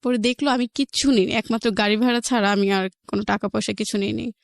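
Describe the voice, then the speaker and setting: A woman with a Bangladeshi accent, an interview guest recounting her personal life in a halting way, repeating phrases for emphasis. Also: mid-range voice, fairly even intonation, creaky, no echo, studio quality.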